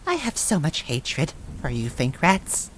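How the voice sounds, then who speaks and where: A man's voice: huge pitch swings, measured pace, slight background noise, poor audio. A man voicing a furious small villain in a story, screeching and ranting in mangled, broken grammar. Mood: bitter hatred. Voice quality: raspy, screechy. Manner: shrill ranting with mangled foreign-sounding grammar.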